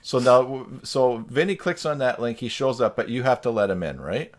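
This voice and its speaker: A man's voice: medium pitch, expressive, normal speed. A man hosting a live stream in casual, teasing chat on a video call. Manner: joking, teasing.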